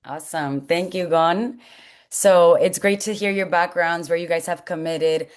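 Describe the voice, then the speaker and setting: A woman's voice: on the low side, fairly even intonation, unhurried, clear, resonant tone. A woman hosting a webinar in an encouraging, semi-formal way, introducing guests and asking them questions. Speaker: host, college coaching staff.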